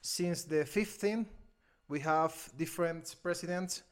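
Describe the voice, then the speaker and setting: A man in his thirties from Venezuela with a Venezuelan accent, his first language Spanish. He speaks in a slightly high voice, pausing and searching for words and often breaking into laughs as he talks through an interview. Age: 30s. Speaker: interview guest, film editor. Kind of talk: casual interview conversation.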